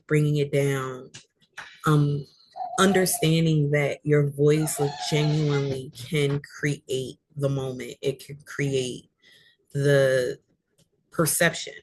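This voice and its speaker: A woman with a deep voice, an author chatting as a guest about how she uses her voice, talking thoughtfully with frequent fillers. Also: darkish tone, poor audio. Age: adult. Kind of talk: casual chat about voice and reading.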